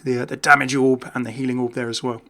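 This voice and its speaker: A man's voice: medium pitch, level tone, fast, vocal fry, bright, forceful tone. Chatty man doing gaming commentary. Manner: chatty, jokey. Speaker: gaming video host.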